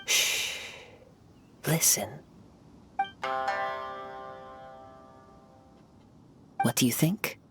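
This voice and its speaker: An actor voicing a serene young wandering poet in a video game, speaking in gentle, formal, poetic phrases. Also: male voice, mid-range voice, animated, slow pace, very noisy. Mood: calm, reflective. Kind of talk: video game character voice acting.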